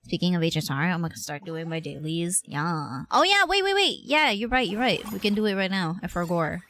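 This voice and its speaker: A woman's voice: lowish voice, big pitch swings, fairly quick, a bit bright. A woman livestreaming a game with casual, playful, slangy commentary, chatting to herself and to her viewers.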